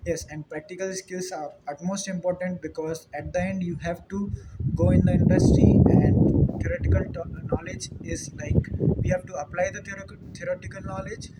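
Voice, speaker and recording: A young man from India, a native Hindi speaker with an Indian accent, explaining things informally in an earnest, slightly halting way. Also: sits high, big pitch swings, very noisy. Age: young adult. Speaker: dairy technologist answering questions. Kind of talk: informal question-and-answer explanation.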